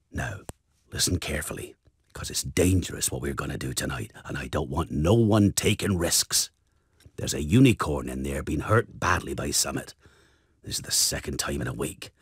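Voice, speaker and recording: An actor playing a gruff but kindly giant groundskeeper in a video game, with a gravelly, husky voice. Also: male voice, very deep voice, big pitch swings, normal speed, fairly bright tone, no room sound, studio quality, full character voice. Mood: grave, cautioning. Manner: folksy, rustic, earnest. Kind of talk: video game character acting.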